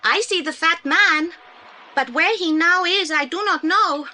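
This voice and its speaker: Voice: extremely high pitch, unhurried, pushed and bright, exaggerated voice. An actor playing an earnest, polite young boy with a Spanish accent and broken English in an audio play. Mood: earnest, eager. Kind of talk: 1980s audio play acting.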